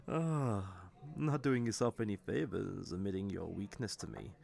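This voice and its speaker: A man acts out a male villain enjoying his day off in a roleplay audio drama. His voice is lowish with a heavy crackle of fry. He speaks slowly and smugly, teasing and flirting with his enemy. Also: expressive, darkish tone, full character voice. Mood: amused, mocking. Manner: teasing, smug, unhurried. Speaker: voice actor. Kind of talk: scripted roleplay audio drama, addressed to the listener.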